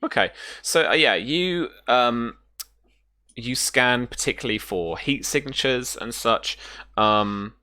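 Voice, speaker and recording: A man's voice: mid-range voice, lively intonation, slow, sharp tone. A man acting as game master, explaining and narrating a tabletop roleplaying game, often hesitating as he thinks aloud.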